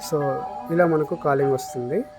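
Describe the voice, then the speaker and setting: A man's voice: fairly high pitch, normal speed, music in the background. A man giving an unscripted, explanatory product demonstration, mixing in English technical terms. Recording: clear background noise, room ambience.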